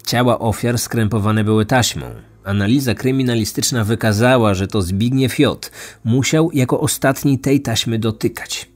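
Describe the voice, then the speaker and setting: A man's voice: mid-range voice, normal speed, a little mellow. A man narrating a scripted true crime story as a podcast host.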